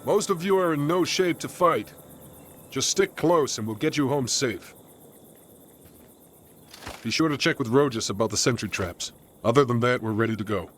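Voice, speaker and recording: A man playing a confident, roguish soldier leader in a video game, brisk, informal and reassuring. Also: medium pitch, expressive, fairly bright tone, a little hiss or hum, lightly acted. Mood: brisk, determined. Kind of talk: dramatic video game cutscene acting. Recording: music behind.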